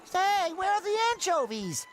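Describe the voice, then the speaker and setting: A man's voice: very high voice, big pitch swings, measured pace, a bit bright, faint music behind, exaggerated voice. A man voicing a boyish, naive, endlessly optimistic cartoon sea sponge in a video game, eager and earnest. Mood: earnest, encouraging.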